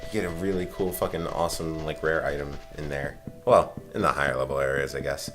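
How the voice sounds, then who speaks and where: A man's voice: extremely low pitch, fairly even intonation, fairly quick. A young man doing casual let's-play commentary, rambling and swearing as he explains the game. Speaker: gaming commentator. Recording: game music behind the voice.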